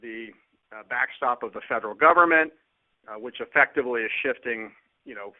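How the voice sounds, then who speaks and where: Finance exec guy on an earnings call, plain talk, stop-start phrasing, mid pitch, phone audio. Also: brisk. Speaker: company finance executive. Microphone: conference call line. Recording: narrow phone-line sound.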